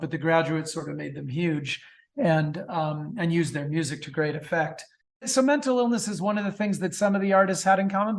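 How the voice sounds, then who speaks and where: A man's voice: a little high, creaky. A man answering as a guest author in a conversational interview, often repeating words as he thinks aloud.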